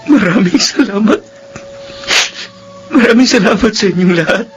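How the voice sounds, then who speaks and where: A man's voice: high-pitched, moderate pace, muffled recording, faint music behind, natural voice. An actor playing an ailing, remorseful imprisoned father in a Filipino radio drama, pleading with emotion. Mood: grateful. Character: ailing, remorseful father in prison, tearfully begging forgiveness.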